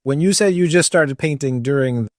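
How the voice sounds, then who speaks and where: A man's voice: on the high side, moderate pace, no room sound, studio quality. A man hosting a podcast, introducing and questioning in a conversational interview style. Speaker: podcast host.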